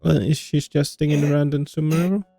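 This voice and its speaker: A woman's voice: sits low, steady tone, brisk, vocal fry, dark tone. A woman doing casual Let's Play commentary, muttering blunt, sweary reactions to a game. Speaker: gaming streamer reacting to gameplay. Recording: game music behind voice.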